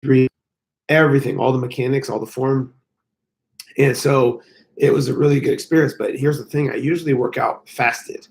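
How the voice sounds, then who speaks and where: Male fitness coach, hoarse and gravelly, casual rambling live-stream chat, mid-pitched voice. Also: fairly even intonation, normal speed. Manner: rambling, frequent fillers. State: hoarse, losing his voice.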